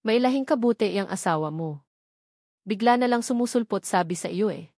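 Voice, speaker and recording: A woman's voice: medium pitch, lively intonation, a little fast, a little mellow, dry recording. A woman reads as a young Filipino man in an audiobook story. He is wary and grumbles to his friend in informal, complaining speech. The recording is close and dry. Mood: grumbling.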